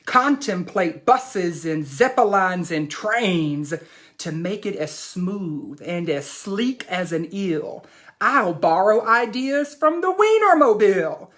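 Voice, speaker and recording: Imaginative boastful boy for children's storybook, performed by a woman, emphatic showman delivery, unhurried. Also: mid-range voice, a bit bright. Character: imaginative boy proudly showing off his dream car. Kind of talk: expressive storybook read-aloud.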